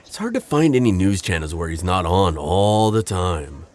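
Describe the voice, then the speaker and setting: A man playing a gentle, protective civilian friend in an audio drama, earnest and hesitant with a slight stammer. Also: low-pitched, very animated, normal speed. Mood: puzzled, curious. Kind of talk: naturalistic audio roleplay drama acting.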